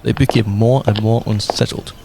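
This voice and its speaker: A young man narrating a radio drama in a measured storytelling way. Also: on the low side, fairly even intonation, gravelly, background noise. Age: young adult. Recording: narration over loud music.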